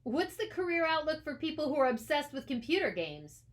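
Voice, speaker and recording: A woman's voice: high voice, big pitch swings, fairly quick, voice pushed up. A woman voicing an earnest, stubborn school boy in a storybook, speaking in a challenging way. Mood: defiant, annoyed. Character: defiant, earnest school boy, aspiring horror writer.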